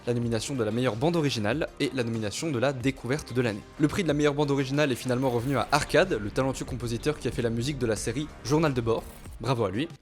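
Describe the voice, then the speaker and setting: A man's voice: medium pitch, racing, soft background music. A man narrating a scripted vlog as its creator, addressing his viewers directly. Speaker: video creator, audio fiction author.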